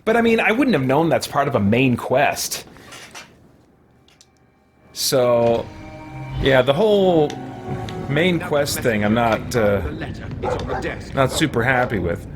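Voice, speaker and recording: A man's voice: mid-range voice, very animated, slow pace, pauses often. A middle-aged man giving casual let's play commentary, musing and thinking aloud as he goes. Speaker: gaming video host. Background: music.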